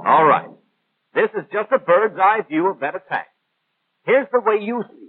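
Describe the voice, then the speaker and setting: A man's voice: high-pitched, lively intonation, normal speed. A man playing a precise, didactic instructor, narrating a 1940s training film in formal language. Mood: patient, corrective. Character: male instructor, patient, precise, pedantic lecturer. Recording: muffled, narrow 1940s film soundtrack.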